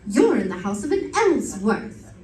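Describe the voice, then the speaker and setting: An actress playing a haughty, suspicious middle-aged society wife in a radio drama, stiff and snobbish. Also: female voice, medium pitch, very animated, measured pace. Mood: haughty, disapproving. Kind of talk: old-time radio drama acting. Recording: roomy, distant, faintly noisy stage recording.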